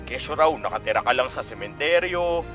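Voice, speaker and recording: An actor playing a pushy, flattering showbiz agent who coaxes in Taglish in a Filipino radio drama. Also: male voice, medium pitch, brisk, lightly acted. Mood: coaxing. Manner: effusive, coaxing, mixes English into Tagalog. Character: pushy, flattering male showbiz talent agent, gossipy and slick. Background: faint background music.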